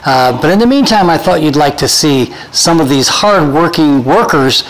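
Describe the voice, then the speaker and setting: Higher-voiced man hosting an informal update. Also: expressive, normal speed, rough recording. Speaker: host and interviewer. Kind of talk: informal video update, interviewing and narrating. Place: outdoors on a golf course under construction.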